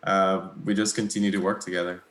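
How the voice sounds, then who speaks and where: A young man from Mexico whose first language is Spanish, answering interview questions in a relaxed, hesitant way with many pauses and small laughs. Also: sits low, roomy sound. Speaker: guitarist, interview guest.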